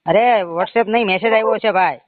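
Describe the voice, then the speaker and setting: A man's voice: high-pitched, moderate pace, dry recording. A man with a Gujarati accent speaking as a loan agent on a recorded phone call, insistent, pushy and repetitive. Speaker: phone caller claiming to be a bank loan agent. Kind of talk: phone sales conversation. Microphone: phone line. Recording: muffled narrow-band phone call recording.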